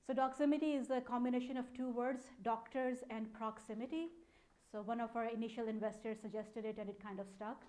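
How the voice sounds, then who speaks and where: Woman, Pakistani accent, fairly high voice, soft-edged mellow tone, conversational presenter. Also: fairly even intonation, brisk. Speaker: speaker, data analytics program manager. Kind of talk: conference talk, informal presentation.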